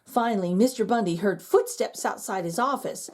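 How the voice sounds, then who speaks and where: A woman reading a children's picture book aloud in an expressive, storytelling manner. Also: mid-range voice, moderate pace. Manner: expressive and animated.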